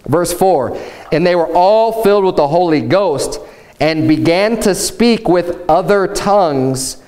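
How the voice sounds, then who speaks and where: Animated man preaching at a pulpit. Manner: animated preaching, voice rising to emphatic highs, reads scripture slowly. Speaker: pastor. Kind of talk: fundamentalist Baptist sermon. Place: church room, standing at a pulpit.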